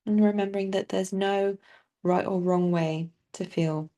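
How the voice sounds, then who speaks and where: A woman leading a guided body scan meditation as a teacher, speaking softly and soothingly with long, unhurried pauses. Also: medium pitch, fairly even intonation.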